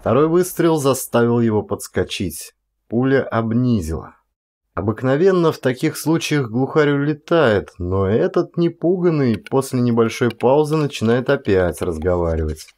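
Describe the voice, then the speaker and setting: A male audiobook narrator with a mid-range voice performs a first-person tale. His delivery is expressive and theatrical, varied from phrase to phrase, with deliberate pauses. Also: medium pitch.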